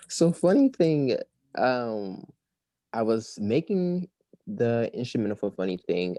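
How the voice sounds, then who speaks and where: Slightly raspy male musician, dry sound. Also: fairly low pitch, no echo. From Maryland. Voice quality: a little raspy. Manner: rambling, animated, breaks into song. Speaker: musician guest. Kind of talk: casual interview chat.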